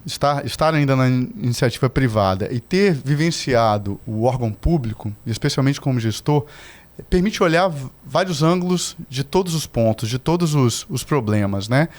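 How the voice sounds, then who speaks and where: A middle-aged man from Salvador, Brazil, a podcast guest giving measured, explanatory answers about environmental management. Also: mid-range voice, expressive. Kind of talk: formal interview answers.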